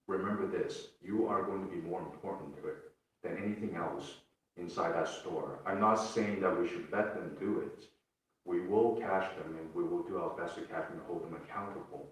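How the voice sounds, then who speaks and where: A man speaking as a police officer at a public meeting, earnest and hesitant, repeating his key points. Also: sits low. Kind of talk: public address. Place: public meeting room, away from mic. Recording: very echoey room, distant mic.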